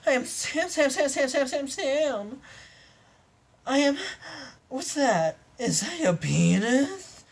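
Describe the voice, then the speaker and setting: A woman's voice: high-pitched, lively intonation, slow pace, creaky, voice pushed up, exaggerated voice. A woman voicing a giddy, over-the-top bad unicorn roleplayer who squeals and gushes dramatically.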